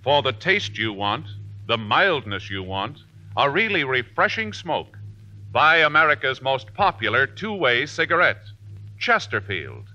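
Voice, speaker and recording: A man's voice: medium pitch, sing-song, a little slow. A man reading a formal, declamatory scripted introduction as a 1950s radio announcer. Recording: muffled vintage radio recording. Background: loud brass music.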